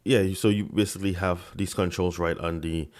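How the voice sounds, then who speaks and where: A man's voice: deep voice, fairly even intonation, brisk. A man giving a casual tech review at his desk, talking into a desk microphone, often stuttering and repeating words. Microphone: desk microphone under review.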